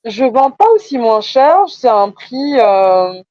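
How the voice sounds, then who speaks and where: A woman's voice: fairly high pitch, very animated, slow pace, mellow, dry recording, lo-fi sound. A woman from France with a Parisian accent, explaining her business conversationally, often hesitating and repeating words for emphasis. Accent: Parisian French. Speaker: entrepreneur being coached.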